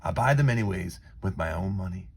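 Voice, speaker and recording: Casting: male children's story narrator, low gravelly voice, dramatic and deliberate phrasing. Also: expressive, a little mellow. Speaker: storybook reader.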